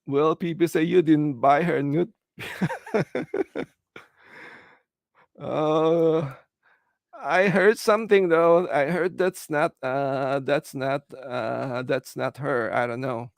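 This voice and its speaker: A middle-aged man from the Philippines with a Filipino English accent, hosting a casual livestream and answering viewer comments in a halting, earnest way, full of "uh" and "you know.". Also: a little high, gravelly, dry recording, studio quality. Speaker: livestream host.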